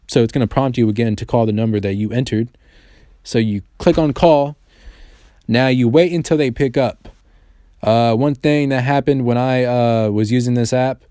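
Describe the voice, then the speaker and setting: A man's voice: mid-range voice, dark tone, dry recording, studio quality. A man narrating a casual how-to tutorial in an informal, slangy, profanity-laced way. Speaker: tech tutorial host. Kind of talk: casual screen-recording tutorial voice-over.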